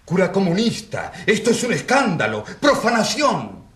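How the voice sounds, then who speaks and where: An actor playing an earnest, defiant rural priest on trial in a radio drama, speaking solemnly. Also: male voice, high voice, huge pitch swings, normal speed, fairly bright tone, full character voice. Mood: earnest recollection.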